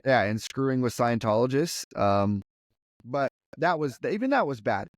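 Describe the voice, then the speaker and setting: A man's voice: medium pitch, lively intonation, normal speed, no room sound, studio quality. A man co-hosting a movie podcast, hesitant with many "um"s but blunt in his verdicts. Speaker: podcast co-host. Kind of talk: casual movie review chat.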